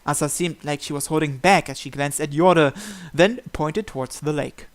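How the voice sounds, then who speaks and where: A man's voice: a little high, moderate pace. A man hosting a let's play, reading story narration aloud and breaking off for casual asides on the text. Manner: stumbles and breaks off to comment on the text.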